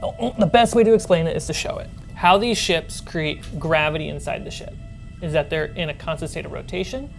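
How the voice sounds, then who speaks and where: A woman speaking as game master, narrating a tabletop role-playing game in a halting, thinking-aloud way. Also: sits low, gravelly. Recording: background music under the voice.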